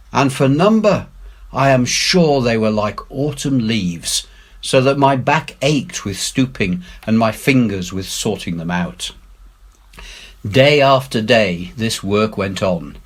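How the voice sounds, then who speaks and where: A man reading a classic adventure story aloud in an expressive, measured way with emphatic phrasing. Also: medium pitch. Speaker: head teacher reading aloud.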